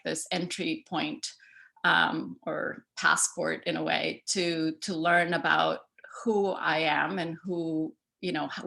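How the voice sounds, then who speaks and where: A middle-aged woman from El Salvador talks through her slides on a video call, her voice bright and a little low. She speaks in a loose, conversational way, full of fillers and restarts. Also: creaky. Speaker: artist giving a talk. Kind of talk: casual presentation over a video call.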